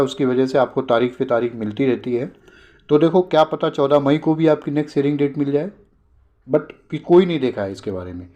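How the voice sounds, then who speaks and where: A man's voice: medium pitch, a little mellow. A man hosting an informal news explainer, repeating points and posing short questions that he answers himself. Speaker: host of an exam updates channel.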